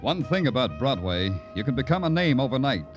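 An actor playing a tough, weary New York police lieutenant in old radio crime drama style, clipped and terse. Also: male voice, mid-range voice, very animated, a little fast, lo-fi sound, natural voice. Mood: weary, brooding. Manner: clipped, hard-boiled, terse. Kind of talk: 1950s radio crime drama acting and narration. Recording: old radio broadcast with music behind.

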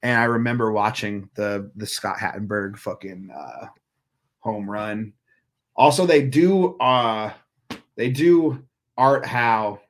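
A man's voice: lowish voice, slow. A man, chatting casually as a podcast guest in a rambling, profane, riled-up way. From Northern California. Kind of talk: casual chat.

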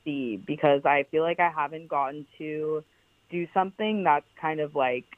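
A woman's voice: low-pitched, normal speed, no room sound. A young woman with a powerful voice, chatting casually as a podcast guest with lots of "like" fillers. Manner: chatty, many "like" fillers, emphatic. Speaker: guest, founder of a clothing brand. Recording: tinny phone-like line, dry.

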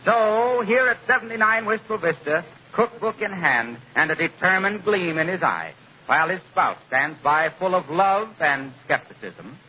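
A male 1940s radio announcer with a polished, declamatory scripted delivery. His fairly high voice moves with lively swings. It comes through a narrow, old broadcast recording. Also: normal speed, a little hiss or hum.

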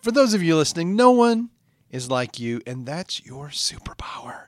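A man playing a cursed podcast host, deadpan and hesitant with an exasperated edge, in a comedy show. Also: on the high side, moderate pace, no echo. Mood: earnest, lofty.

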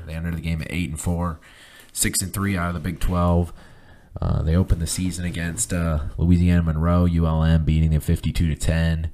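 A hoarse man getting over the flu, hosting a casual solo podcast and talking in a halting way with many fillers. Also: rumbling low, mellow. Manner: halting, many ums and uhs, reads off lists of stats. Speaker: podcast host.

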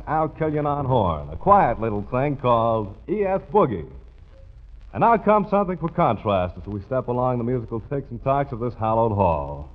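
A man hosting a 1940s radio music show, introducing acts with genial, punning patter. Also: mid-range voice. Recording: tinny old radio broadcast.

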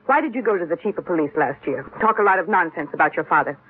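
A woman's voice: lowish voice, animated, fast talker, breathy edge. An actress playing a poised, steely young stepmother in a 1950s radio drama. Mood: controlled, accusing. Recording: muffled vintage 1950s radio recording.

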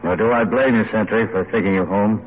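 An actor playing an earnest, idealistic army adjutant and writer in solemn, oratorical old-time radio style. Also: male voice, on the low side, fairly quick, phone-quality sound, close to an everyday voice. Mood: earnest, resolute. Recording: noisy, band-limited vintage radio recording.

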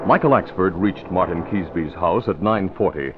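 A man's voice: low-pitched, animated, normal speed, over music. A man narrating and announcing in scripted 1940s radio style. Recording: thin, tinny old radio recording.

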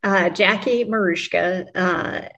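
A woman's voice: lowish voice, slow pace, fairly bright tone, lo-fi sound. A woman from New Mexico, a podcast guest, chatting casually with frequent ums and laughter. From New Mexico, USA. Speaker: podcast guest, music publicist. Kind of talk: casual conversational interview.

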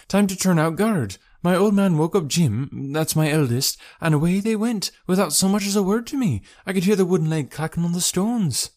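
A man's voice: high voice, a little mellow, voice pushed up. A man voicing an anxious, talkative working-class English wife in an audiobook, folksy and deferential. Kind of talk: audiobook character voice.

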